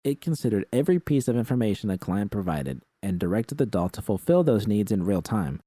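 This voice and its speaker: A man narrates first-person fiction in a low, dark voice. It is a scripted audiobook read with a close, dry sound and no room around it. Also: big pitch swings, fairly quick, husky, no echo.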